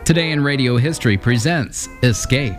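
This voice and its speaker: A man's voice: medium pitch, moderate pace. Male narrator, scripted intro over music. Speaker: channel host narrator.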